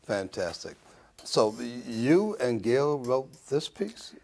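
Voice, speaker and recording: An older man with a husky voice, hosting a conversational interview. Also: mid-range voice, big pitch swings, slow, a little mellow. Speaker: talk show host.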